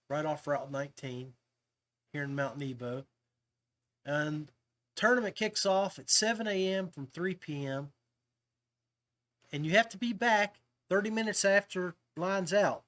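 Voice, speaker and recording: A man hosting a podcast, talking casually and a little haltingly as he walks viewers through details. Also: a little high, fairly bright tone. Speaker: podcast host. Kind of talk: casual informational talk to viewers.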